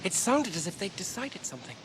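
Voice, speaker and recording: An actor playing a small young halfling in a British radio fantasy drama, uneasy, curious and tentative. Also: male voice, high voice, sing-song, a little fast. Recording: faintly noisy radio drama.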